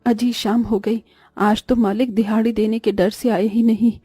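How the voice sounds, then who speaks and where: A woman's voice: fairly high pitch, flat delivery, normal speed, warm tone, slightly rough, no room sound, soft background music. A woman voicing a poor middle-aged farmer's wife and mother in a cartoon, mild and placating. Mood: tired, practical. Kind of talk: Hindi moral-story cartoon voice acting.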